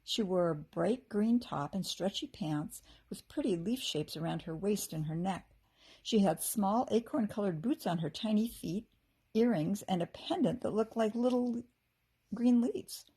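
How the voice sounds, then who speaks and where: An American woman narrates a children's storybook in a teacherly, expressive way. Her voice has a slightly breathy, husky edge at a middle pitch. She is animated and acts out the characters. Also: a little slow. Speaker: teacher reading a children's book aloud.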